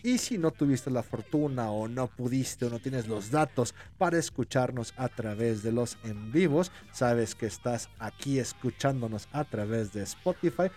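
A man with a Mexican Spanish accent hosting a casual podcast chat, excitable and laughing, swearing freely. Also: mid-range voice, measured pace, hardly any pauses, faint music behind. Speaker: podcast host.

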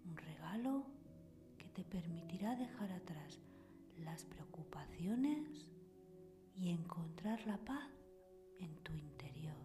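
Female meditation podcast host, soothing breathy whisper, very slow delivery, scripted sleep guidance with clear background music. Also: fairly low pitch, very animated. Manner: soothing, unhurried pauses between short phrases. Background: soft meditation music with singing bowls.

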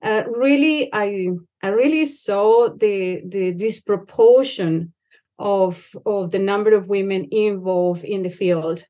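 A woman's voice: medium pitch, slow pace, mellow. Earnest Colombian woman on a podcast. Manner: earnest, with frequent restarts. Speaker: conservation organization director. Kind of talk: podcast interview.

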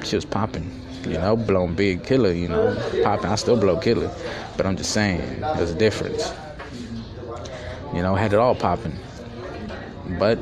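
A man in his twenties hosting a casual solo podcast from a fast-food restaurant, talking in boastful, profane street slang. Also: deep voice. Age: 20s. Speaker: podcast host. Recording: crowded restaurant chatter behind. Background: restaurant crowd chatter.

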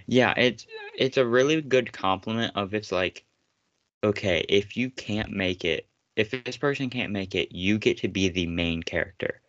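A man's voice: on the low side, normal speed, fairly bright tone, dry recording, dull audio. A man co-hosting a casual podcast, rambling and teasing his friend with frequent laughter. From United States. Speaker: podcast co-host. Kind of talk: casual chat.

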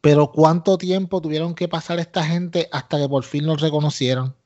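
A man's voice: on the high side, fairly even intonation, moderate pace, a little mellow. A man with a Puerto Rican accent co-hosting a podcast, giving opinionated casual commentary, emphatic and repetitive, dropping English phrases into his Spanish. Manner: emphatic, repeats himself, mixes English into Spanish. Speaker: wrestling podcast co-host. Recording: dull lo-fi audio.

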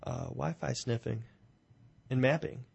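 A man's voice: medium pitch, steady tone, unhurried, creaky, a little mellow, husky, muffled recording. A young man hosting a casual podcast. He is getting over being sick and is losing his voice, and he jokes and banters with his co-hosts. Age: young adult. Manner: joking, banters back and forth. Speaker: podcast host.

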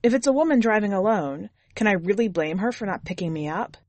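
A woman's voice: mid-range voice, expressive, fast, a little mellow, dry recording, close to an everyday voice. A woman narrating in the first person as a jaded, blunt twenty-year-old drifter, wry and foul-mouthed, in an audiobook story.

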